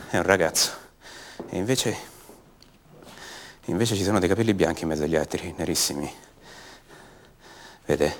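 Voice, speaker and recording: An actor playing an anguished young son of a disappeared mother in a radio drama monologue, halting and repeating words with intensity. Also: deep voice, slight background noise, lightly acted. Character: anguished young son of a disappeared woman, raised by her captors.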